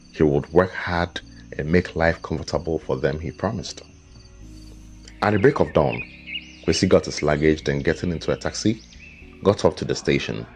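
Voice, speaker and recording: A man narrating a scripted folktale as a storyteller, with a measured, dramatic delivery. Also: rumbling low, darkish tone. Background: background music with guitar.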